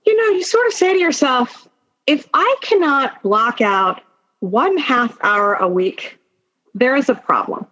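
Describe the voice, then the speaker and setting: A woman speaking as an interview guest in a conversational, informal way, thinking aloud in halting phrases with many asides. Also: high-pitched, animated, a bit bright. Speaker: podcast guest, attorney and executive. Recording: dull lo-fi sound.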